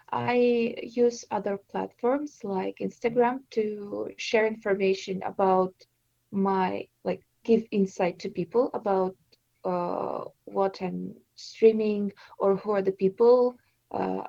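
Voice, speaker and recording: A woman's voice: medium pitch, fairly even intonation, slow, vocal fry, mellow, no echo, muffled recording. A woman in her twenties from Estonia, a native Russian speaker, answering interview questions in a hesitant way with many fillers. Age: 20s. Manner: hesitant, with frequent fillers and restarts. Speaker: interview guest, streamer.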